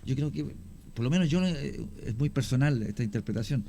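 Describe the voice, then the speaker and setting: A man's voice: medium pitch, animated, a little fast, warm tone, a little hiss or hum. A man with a Chilean accent, a radio guest telling cemetery stories at length with frequent hesitations. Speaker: guest storyteller on cemetery history. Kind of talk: radio conversation, storytelling. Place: radio studio.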